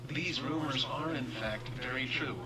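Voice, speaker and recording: A man's voice: medium pitch, a little slow, sharp tone, slight background noise. A man reading a formal scripted emergency bulletin in an impersonal, matter-of-fact way. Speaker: emergency alert announcer.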